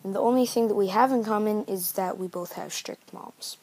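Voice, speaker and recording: A woman's voice: mid-range voice, a little fast, a little mellow, breathy edge. A woman reading scripted narration for a homemade book report. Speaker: narrator of a novel study video.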